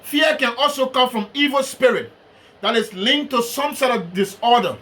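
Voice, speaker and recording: Liberian male preacher, very high bright voice, slow emphatic sermon delivery.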